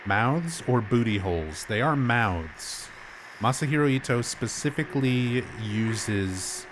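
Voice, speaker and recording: A man with a low, slightly rough voice from a sore throat talks through a game on a live stream. He explains things in an easygoing, chatty way and livens up when something amuses him. A steady hiss of noise runs under the recording. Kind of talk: casual game commentary.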